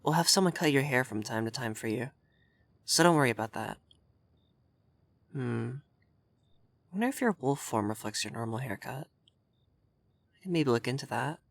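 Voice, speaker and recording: A very dry, intimate recording of a man acting as an effeminate vampire lord in an ASMR roleplay. He is haughty and prim, giving orders to the listener with tsundere fluster. His voice sits at a medium pitch. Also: lively intonation, moderate pace, creaky, long pauses, no room sound. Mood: prim, bossy.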